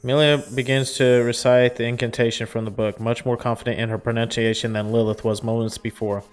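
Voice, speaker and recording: A man's voice: mid-range voice, little pitch movement, fairly quick, smooth. A man reading a visual novel aloud as a gaming streamer, in a storytelling style with casual asides.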